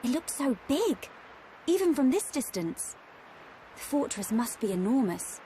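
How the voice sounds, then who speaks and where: A woman's voice: high voice, big pitch swings, unhurried, dark tone, slight background noise, low-quality recording. An actress playing a poised, earnest young noblewoman in a video game cutscene.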